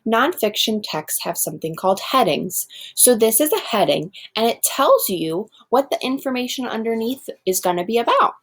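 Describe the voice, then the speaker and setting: Woman, first-grade teacher, read-aloud lesson, step-by-step explaining, addresses young pupils directly, mid-range pitch.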